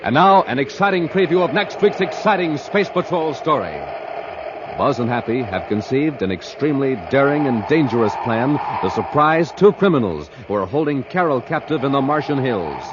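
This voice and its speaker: A man's voice: mid-range voice, lively intonation, normal speed, background noise. A man announcing and selling on 1950s children's radio with an emphatic, punchy hard-sell delivery. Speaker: announcer and pitchman. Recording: muffled old radio recording.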